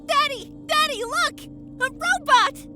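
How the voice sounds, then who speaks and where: Very shrill excited little kid. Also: female voice, slow pace, dry recording, clean studio sound, faint music behind, full character voice. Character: excited, adoring small child. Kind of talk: visual novel game voice acting.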